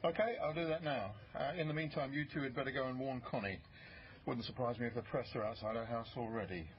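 A man's voice: medium pitch, fairly even intonation, quick pace, natural voice. A man playing a busy, evasive senior British detective in a naturalistic radio drama. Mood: brisk. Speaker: actor in radio crime drama. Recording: slightly muffled broadcast, faint noise.

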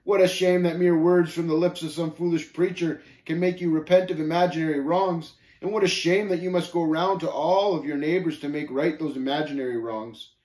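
A man's voice: a little high, steady tone, brisk, hardly any pauses, darkish tone, voice pushed up, natural voice. A man voicing Shame's part in a story, a haughty scoffer who talks in archaic speech with contemptuous, gloating, snapping taunts. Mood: mocking. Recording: roomy, echoing voice recording.

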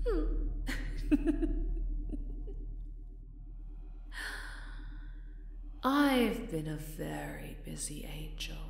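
A woman voicing an obsessive, possessive winged supervillainess in an ASMR roleplay, theatrical and breathy, often sinking into whispers, with menacing tenderness. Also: on the high side, roomy sound, slight background noise, voice pushed up, full character voice. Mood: teasing, sinister.

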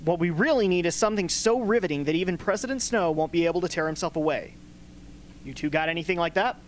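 A man's voice: a little high, quick pace, lightly acted. Man acting blunt rebel mentor, faint hum. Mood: businesslike. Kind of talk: amateur radio play acting. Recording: faint hum and background noise.

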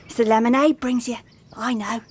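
An actress playing a friendly, flirtatious housemaid in a British period radio drama, with coaxing, colloquial speech. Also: female voice, fairly high pitch, steady tone, normal speed, slight background noise, close to an everyday voice. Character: flirtatious, friendly housemaid offering lemonade, later alarmed.

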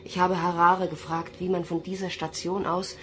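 A woman's voice: lowish voice, flat delivery, normal speed, close to an everyday voice. An actress playing a troubled, defiant young female recruit in a science fiction radio drama. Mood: worried. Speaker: lead actor and narrator of an audio drama. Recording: studio radio play with loud music.

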